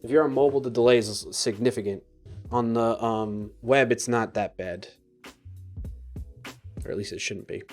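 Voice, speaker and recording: A man's voice: mid-range voice, moderate pace, pauses often, darkish tone, faint music behind. A man streaming live code who thinks aloud in a casual way. He talks to viewers between tasks and often trails off and restarts his sentences. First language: English. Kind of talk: live coding stream chat, reading viewer comments aloud.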